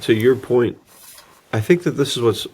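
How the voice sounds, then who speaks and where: A man's voice: medium pitch, expressive, normal speed, darkish tone, slightly rough. A man co-hosting a podcast, chatting casually and jokingly about film in an informal way. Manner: informal, joking, prone to chuckling. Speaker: podcast co-host.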